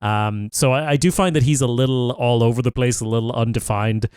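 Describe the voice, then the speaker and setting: A man's voice: medium pitch, steady tone, a little fast, darkish tone, smooth, no echo, clean studio sound. A man co-hosting a podcast, chatting casually about TV shows and thinking aloud with fillers. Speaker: podcast co-host. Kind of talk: casual TV review chat.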